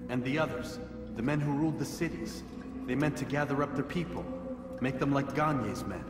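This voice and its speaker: A man's voice: mid-range voice, normal speed, natural voice. A man playing a stern, questioning young assassin of the Crusades in a video game, formal and serious in speech. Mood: intent. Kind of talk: dramatic video game dialogue. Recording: echoey hall, music behind.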